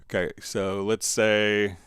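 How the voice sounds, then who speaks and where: A man hosting a casual, easygoing software tutorial, explaining steps and answering viewer questions. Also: lowish voice, flat delivery, takes it slowly, clean tone, no echo, studio quality. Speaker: live stream host, software instructor.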